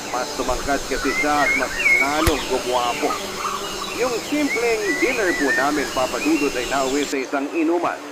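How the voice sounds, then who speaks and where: A man's voice: mid-range voice, animated, normal speed, very noisy. A man giving scripted first-person narration of a personal story. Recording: voice under loud music. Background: loud background music.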